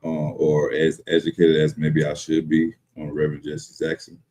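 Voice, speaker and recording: A man in his thirties hosts a live podcast in an extremely deep, husky voice. He speaks politely and hesitantly, searching for his words as he goes. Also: monotone, moderate pace, dark tone. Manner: polite, hesitant, many fillers and restarts. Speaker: podcast host. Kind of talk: casual live podcast talk.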